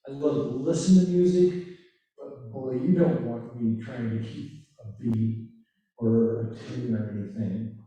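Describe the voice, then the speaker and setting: Slow, mellow male workshop leader with a Dutch accent, halting delivery, recorded far off in a very echoey church hall. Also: mid-range voice. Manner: hesitant, thinks aloud. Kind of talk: conversational workshop talk.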